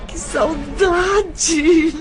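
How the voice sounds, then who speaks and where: An actress playing a lovesick young woman in an audio drama, breathlessly passionate and tearful, with drawn-out, sighing delivery. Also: very high-pitched, music in the background, heavily acted.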